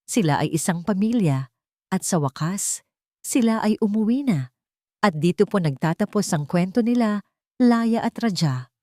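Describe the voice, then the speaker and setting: Mellow, low-toned woman narrating romance. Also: dry recording, clean studio sound. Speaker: story narrator.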